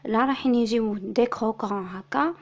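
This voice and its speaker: A woman with an Algerian Arabic accent who is slightly hoarse from illness, calmly explaining a recipe as a cooking-channel host. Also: on the high side, moderate pace. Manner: soft, subdued, steady explaining. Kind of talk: recipe tutorial voice-over.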